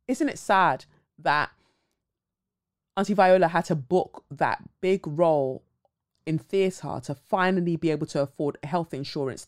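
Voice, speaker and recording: A blunt, outspoken woman runs her own commentary show, speaking casually and cursing without hesitation. Her voice sits a little on the low side, and she keeps a loose, conversational flow broken up by dramatic asides. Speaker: podcast host.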